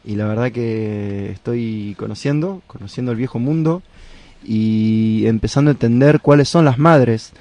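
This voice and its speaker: A man's voice: medium pitch, unhurried, dark tone, dry recording. An Argentine man, a touring folk musician, chatting playfully in a radio interview. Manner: playful, joking, expansive. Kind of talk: casual radio interview chat.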